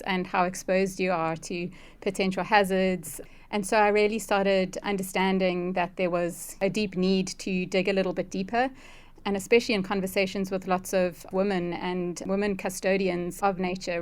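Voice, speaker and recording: South african lady guest in an interview, earnest, reflective, pretty even voice. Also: mid-range voice, smooth. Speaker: interview guest, conservation ecologist.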